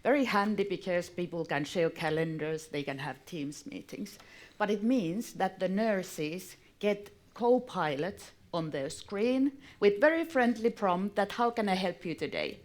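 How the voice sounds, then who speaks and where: A woman's voice: mid-range voice, animated, a little slow. A woman on a conference panel making her case earnestly and firmly. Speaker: panel speaker. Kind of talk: panel discussion. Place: conference breakout room.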